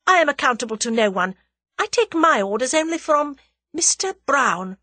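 A woman's voice: sits high, sing-song, a little slow, fairly bright tone, dry recording, pitched above the performer's own voice, exaggerated voice. A woman voicing a scornful, worldly female schemer in an audiobook, with a haughty, airy, taunting delivery and cool amusement. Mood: haughty anger. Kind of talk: dramatised audiobook character dialogue.

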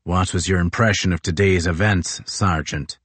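Guy doing a grim navy captain, low and clipped, dry close mic. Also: fairly even intonation, normal speed, fairly bright tone, no echo. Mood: cold. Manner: low, curt and cold. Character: grim, hard older naval captain.

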